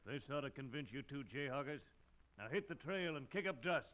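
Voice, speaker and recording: A man's voice: medium pitch, animated, brisk, fairly bright tone, lightly acted. An actor playing a goading, bullying outlaw henchman in an old radio western.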